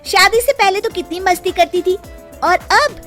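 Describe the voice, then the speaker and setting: A woman voicing a playful, excitable young woman, a mischievous little sister in an animated story. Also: very high voice, normal speed, faint music behind, pitched above the performer's own voice. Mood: playful, teasing. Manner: giggly, excitable, pleading when scared.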